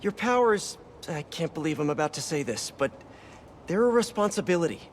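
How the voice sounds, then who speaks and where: A man's voice: fairly high pitch, animated, a little fast, slight background noise, natural voice. An actor playing a young, wisecracking male superhero and earnest mentor, in glib conversational video game voice acting. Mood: earnest, caring. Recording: loud music behind the voice.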